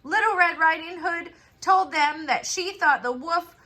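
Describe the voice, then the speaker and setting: A woman storyteller narrating a children's fairy tale for a puppet show in a deliberate, expressive way. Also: extremely high pitch.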